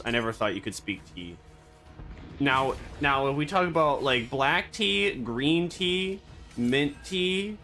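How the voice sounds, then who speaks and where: A man streaming a game, rambling and joking in absurd deadpan banter. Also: a little high. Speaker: VTuber streamer. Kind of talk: casual gaming stream chatter.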